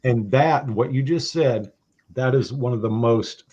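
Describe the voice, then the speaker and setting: A man in his forties in casual chat, playful, reading passages aloud slowly and deliberately. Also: mid-range voice, a little mellow, highs cut off, slight background noise. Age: 40s. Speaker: guest.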